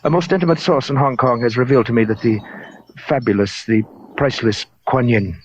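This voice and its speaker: An actor playing a huge, urbane, menacing gentleman in a radio crime drama, speaking in a florid, deliberate way. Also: medium pitch, close to an everyday voice. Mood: silky menace. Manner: florid, pompous, silky, deliberate. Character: huge, urbane, menacing gentleman collector of fake Oriental art. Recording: muffled, hissy old radio recording.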